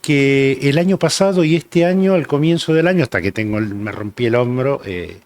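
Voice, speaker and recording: A man in his sixties from Argentina with a Rioplatense accent, telling stories as a guest in a relaxed interview, with many filler sounds. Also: mid-range voice, moderate pace. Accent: Rioplatense Spanish. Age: 60s. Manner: rambling, reminiscing, many "eh" fillers. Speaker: rugby journalist guest. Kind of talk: relaxed anecdotal interview chat.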